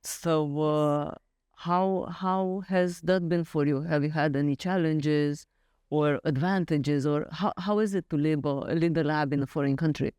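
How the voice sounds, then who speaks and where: A woman's voice: low-pitched, steady tone, unhurried, darkish tone, smooth, no echo, studio quality. A woman hosting an interview, asking questions politely with hesitant phrasing and fillers. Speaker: podcast host.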